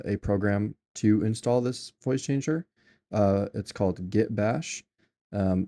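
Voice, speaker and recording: A young man narrates a casual software tutorial, rambling through the steps with plenty of fillers. His voice sits low and gravelly, dark in tone, with little rise and fall. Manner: loose and rambling, many fillers and restarts. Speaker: tutorial maker.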